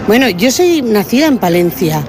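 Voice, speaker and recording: A woman's voice: mid-range voice, sing-song, moderate pace, music in the background. A woman from Barcelona speaking spontaneously as a fan interviewed in the street. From Barcelona, Spain. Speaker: bullfighting fan interviewed in a queue. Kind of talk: spontaneous vox pop.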